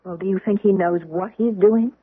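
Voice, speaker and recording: Husky, plain-spoken housekeeper played by a woman. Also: mid-range voice, moderate pace, no echo, lightly acted. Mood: probing, uneasy. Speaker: actor in radio drama. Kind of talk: old-time radio drama acting. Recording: muffled, dry vintage radio recording.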